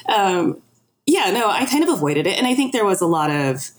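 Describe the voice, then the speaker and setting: A woman's voice: mid-range voice, very animated, normal speed. A woman speaking as a podcast guest, candid and self-reflective, acting out remarks she quotes as she talks. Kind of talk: candid personal conversation.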